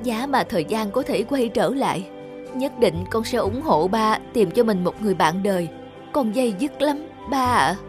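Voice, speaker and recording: A woman's voice: a little high, lively intonation, moderate pace, close to an everyday voice. A woman playing a regretful, loving grown daughter in a cartoon drama, earnest and sorrowful. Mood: tearful regret. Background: music.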